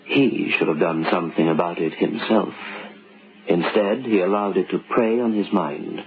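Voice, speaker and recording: A man narrating a scripted radio drama in a measured, ominous manner. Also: low-pitched. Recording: muffled, noisy vintage radio recording.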